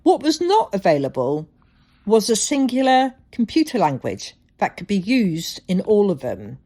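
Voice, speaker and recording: A woman hosting a solo podcast, telling things reflectively with deliberate, dramatic phrasing. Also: medium pitch, wildly swooping pitch, mellow. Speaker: podcast host.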